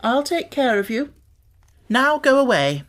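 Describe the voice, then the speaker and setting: A man's voice: very high voice, sing-song, measured pace, fairly bright tone, voice pushed up, exaggerated voice. A man voicing a spoiled, sickly rich young boy in an audiobook, haughty and commanding. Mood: imperious.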